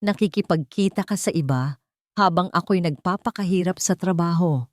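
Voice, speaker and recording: A woman voicing an angry, drunken husband in a melodramatic story, with rough, contemptuous accusations. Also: lowish voice, moderate pace, no room sound, studio quality, close to an everyday voice. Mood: angry, accusing.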